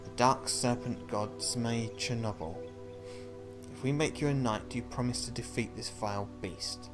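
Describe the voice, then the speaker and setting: A man's voice: medium pitch, moderate pace, music in the background, close to an everyday voice. A man voicing a huge, courteous whale king from a game, speaking in a formal, declamatory way. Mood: welcoming, urgent.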